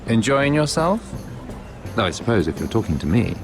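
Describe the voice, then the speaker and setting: A man playing a worldly, sardonic merchant lord in a fantasy game, with a smooth, wry delivery. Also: fairly low pitch, very animated, normal speed. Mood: amused, teasing. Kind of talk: fantasy video game acting. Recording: music behind the dialogue.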